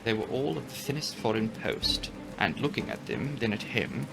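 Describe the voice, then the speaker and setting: Expressive male narrator with a creaky edge. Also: mid-range voice, moderate pace, music in the background, rough recording. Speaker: audiobook narrator. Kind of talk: dramatic narration of a classic novel.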